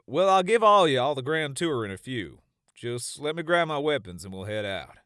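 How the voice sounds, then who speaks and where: An actor playing an easygoing but tough cowboy in an audiobook drama, with a folksy American Western drawl. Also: male voice, medium pitch, expressive, normal speed, fairly bright tone, smooth, no echo, studio quality, close to an everyday voice. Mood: hearty, welcoming. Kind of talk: audiobook drama character acting. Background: music.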